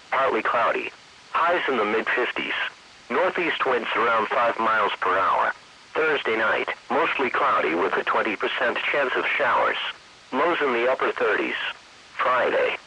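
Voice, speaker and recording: Computer guy doing weather radio forecast, husky but sharp, very formal. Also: medium pitch, very animated, normal speed, poor audio. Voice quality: synthetic. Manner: formal, evenly phrased. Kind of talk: automated forecast reading.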